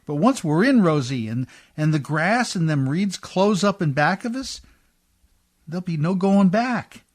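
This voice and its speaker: A man's voice: high voice, lively intonation, measured pace. Man acting a folksy riverboat man, swooping voice. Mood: worried, resigned. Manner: colloquial, ungrammatical, hearty working-man talk. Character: folksy, warm, rough-spoken riverboat man in love. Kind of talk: old-time radio drama acting.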